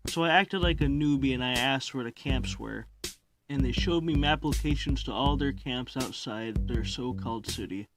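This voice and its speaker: A man's voice: mid-range voice, natural voice. A man voicing a goofy, boastful stoner echidna in an amateur comic dub, rambling in slang. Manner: rambling, slangy, says lol aloud.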